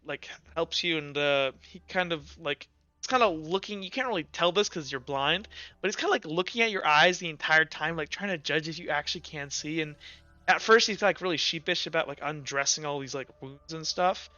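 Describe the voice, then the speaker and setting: Bright-voiced man narrating haltingly as game master. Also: fairly high pitch, no echo. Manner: halting, loose, conversational narration. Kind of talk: improvised narration of a tabletop roleplaying game. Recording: dull audio with music.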